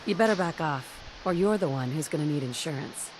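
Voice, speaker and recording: A level-headed wasteland survivor from a video game. She speaks bluntly in a lowish voice. Clear ambient noise runs behind it. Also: lively intonation, brisk. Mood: firm. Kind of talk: video game character dialogue. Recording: game audio with noisy ambience.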